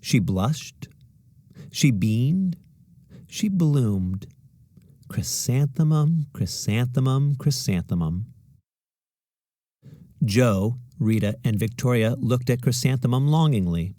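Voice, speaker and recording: A man narrating a children's story aloud, deliberately and expressively, with dramatic pauses. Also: medium pitch, lively intonation, dark tone, no room sound. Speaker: storybook reader.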